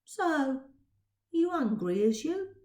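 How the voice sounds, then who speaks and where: A woman's voice: high-pitched, big pitch swings, slow, mellow, echoey room, pitched above the performer's own voice, heavily acted. A woman voicing a cocky, street-smart Victorian boy pickpocket with a Cockney accent, bragging in ungrammatical slang in a story reading.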